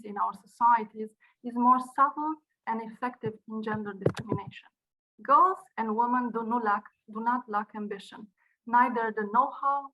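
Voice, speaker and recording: A woman from Romania with a Romanian accent, a non-native English speaker, delivering a prepared speech in an earnest, measured way with occasional restarts, over a video call. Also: a little high, highs cut off. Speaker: university director giving a speech.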